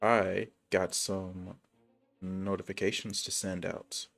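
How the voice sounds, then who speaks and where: A man with a fairly low voice streams a game and chats casually to viewers. His delivery rambles, full of hesitation and slang. Music plays clearly enough to hear behind him. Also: flat delivery, slow, fairly bright tone. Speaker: live streamer. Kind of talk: casual gaming livestream chat.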